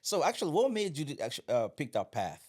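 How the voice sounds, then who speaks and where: A man hosting a podcast, welcoming his guest with upbeat enthusiasm and quick affirmations. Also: fairly high pitch, very animated, a little mellow. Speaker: podcast host.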